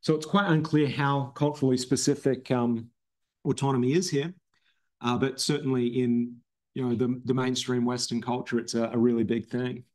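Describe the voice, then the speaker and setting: A man giving an academic seminar talk, explaining ideas in a halting way with many ums and restarts, his voice a little strained from losing it recently. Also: mid-range voice, flat delivery, a little mellow. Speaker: philosophy researcher presenting a seminar talk.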